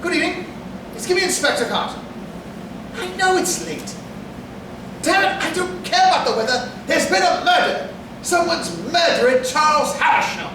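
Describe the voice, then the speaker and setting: A blustering upper-class gentleman of stage whodunits, played by an actor, barking emphatic exclamations and orders in a high voice. The sound is very echoey and distant, with clear background noise. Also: animated, moderate pace. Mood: commanding, urgent. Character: blustering, alarmed upper-class gentleman, best friend of victim. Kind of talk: theatrical staged whodunit acting. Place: stage in a hall, recorded from afar. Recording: echoey hall, distant mic, noisy.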